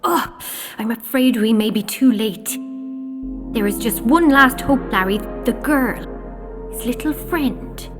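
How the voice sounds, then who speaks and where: A woman playing a commanding, anxious Snow Queen in a children's audio drama, grave and deliberate. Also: on the high side. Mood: grave, worried. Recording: clear music behind.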